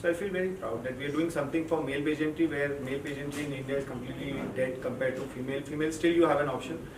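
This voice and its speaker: A man's voice: fairly high pitch, fairly even intonation, racing. A man, a pageant organizer, speaking proudly in plain, repeated phrases during a press interview at an event. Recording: echoey event space, distant mic, noisy.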